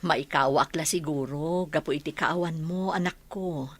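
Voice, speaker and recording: A woman's voice: low voice, moderate pace, breathy edge. An actress playing an affectionate, lonely, ailing mother in a radio drama. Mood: sad, weak. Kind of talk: Ilocano radio drama acting.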